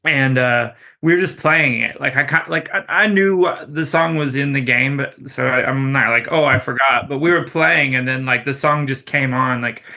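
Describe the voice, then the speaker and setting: A man's voice: medium pitch, normal speed, few pauses, pushed and bright. A man chatting as a band guest, rambling with lots of "like" fillers. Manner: rambling, heavy use of "like". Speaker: musician guest. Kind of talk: casual chat.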